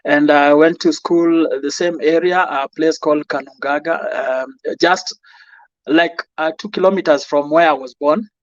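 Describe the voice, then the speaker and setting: A Kenyan man from Nairobi answering interview questions in a calm, humble, soft-spoken way. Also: on the high side, moderate pace, no room sound, lo-fi sound. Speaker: guest.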